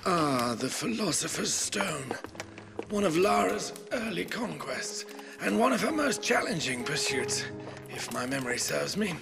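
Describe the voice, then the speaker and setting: An actor playing a dignified old English butler in a video game, solemn and formal, with a British accent. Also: male voice, fairly high pitch, very animated, unhurried, vocal fry, over music, heavily acted. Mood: solemn, reflective. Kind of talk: video game cutscene acting.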